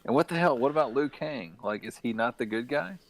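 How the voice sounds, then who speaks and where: A man's voice: medium pitch, lively intonation, fairly quick, rough recording. A man on a podcast giving his opinions in a casual, blunt and sweary way, sounding incredulous. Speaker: podcast co-host.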